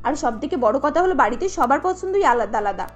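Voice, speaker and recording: Woman as a harried, high-pitched elder daughter-in-law. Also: animated, a little fast, faint music behind. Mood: irritated, insistent. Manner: complaining, insistent.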